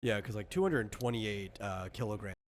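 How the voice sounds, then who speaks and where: A man's voice: fairly low pitch, expressive, normal speed. A man explaining things in casual chat as a game designer, often checking in with "right?". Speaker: game designer guiding character creation.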